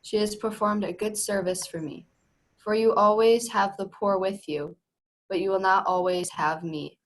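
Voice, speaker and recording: Solemn religious teacher in a scripture drama, read by a woman, lower-pitched, home video-call sound. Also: natural voice, rough recording. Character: Jesus, a solemn male religious teacher foretelling his death.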